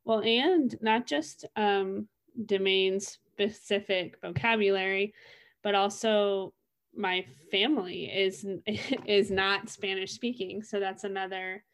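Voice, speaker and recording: A woman's voice: mid-range voice, fairly even intonation, slow pace. The young woman you would hear co-hosting a small discussion podcast, thinking aloud in casual conversation. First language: English. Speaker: co-host in a reflective discussion.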